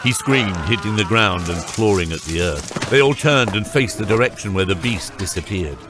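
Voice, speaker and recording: A man's voice: fairly low pitch, expressive, normal speed. A man narrating a scripted fantasy audiobook. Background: loud music.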